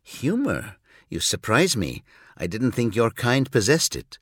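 A man's voice: mid-range voice, wildly swooping pitch, a little slow, breathy edge, dry recording, studio quality, exaggerated voice. A man voicing a weary, sardonic, long-lived human in an audiobook, with a bitter, sarcastic edge. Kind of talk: audiobook reading.

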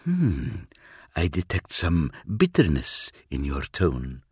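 A man putting on a cold, cryptic alien seer who speaks low and hushed, slow and deliberate. His husky voice stays calm and superior, with lilting rises and falls. A dry audiobook read. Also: dry recording, heavily acted. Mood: cool, probing. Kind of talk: audiobook reading.

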